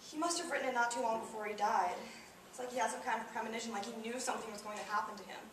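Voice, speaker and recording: A woman plays a soldier's bereaved mother in a stage monologue. Her voice is fairly high, solemn and deliberate, moving in halting phrases. It comes from a distance in a very echoey hall. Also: natural voice. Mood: sorrowful. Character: grieving mother of a fallen soldier, plainspoken, steadfast. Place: indoor performance space, recorded from afar. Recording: very echoey hall, distant mic, faint noise.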